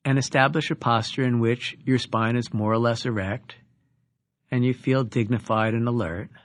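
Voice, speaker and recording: A man leading a guided meditation as a teacher, giving instructions with deliberate pauses between phrases. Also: medium pitch, fairly even intonation, no echo, clean studio sound.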